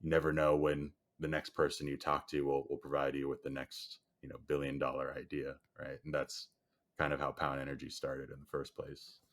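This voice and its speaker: A man whose first language is Chinese speaks in a very low, even voice with little rise and fall. He answers at a measured pace and leans on "you know" as he thinks through each point. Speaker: guest, storage company executive. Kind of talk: podcast interview answer.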